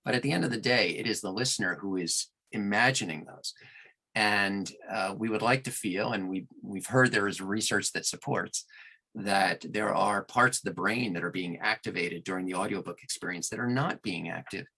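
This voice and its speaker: Gravelly, very deep-voiced man. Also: moderate pace, pushed and bright, low-quality recording. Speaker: audiobook narrator and producer, interview guest. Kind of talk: conversational interview answers.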